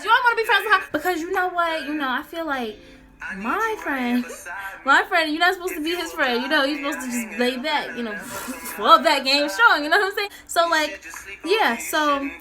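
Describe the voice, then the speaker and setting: A young woman telling a casual, comedic story in a sassy, dramatic, slangy way, acting out the dialogue. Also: high-pitched. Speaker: storytelling vlogger. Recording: music playing behind the voice. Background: clear background music.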